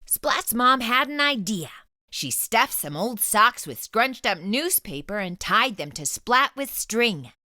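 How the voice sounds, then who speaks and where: A woman with a high, bright voice reads a children's story aloud. Her storytelling is dramatic and hugely animated, paced slowly for young listeners. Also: no echo, studio quality. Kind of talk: expressive children's book read-aloud.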